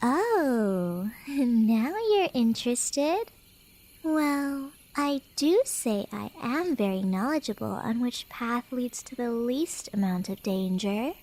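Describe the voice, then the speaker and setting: A very high, unhurried female cat beastkin for an ASMR roleplay. She is playful and clingy, teasing her listener with coy turns of phrase and slipping between soft whispers and speech. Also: expressive, poor audio. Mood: smug. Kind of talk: flirty ASMR roleplay acting.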